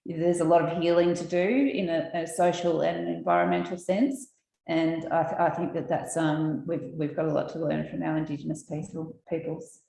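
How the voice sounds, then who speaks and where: A woman speaking as a panelist, hesitant and full of "um" pauses. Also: deep voice, little pitch movement, creaky, dark tone, heavy reverb, slight background noise, poor audio. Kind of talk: panel discussion.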